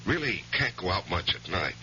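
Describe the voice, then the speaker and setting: An actor playing an earnest, hesitant young married lawyer haunted by voices, in an old radio drama. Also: male voice, sits low, animated, moderate pace, sharp tone, full character voice. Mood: deferential, hesitant. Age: young adult. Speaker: lead actor and first-person narrator. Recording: slightly noisy dull 1970s radio recording.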